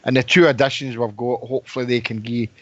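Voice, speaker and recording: A man's voice: mid-range voice, steady tone, quick pace, fairly bright tone, no echo. A man co-hosts a fan phone-in, talking casually and repeating words while he thinks. His voice sounds slightly muffled and dry. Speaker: co-host.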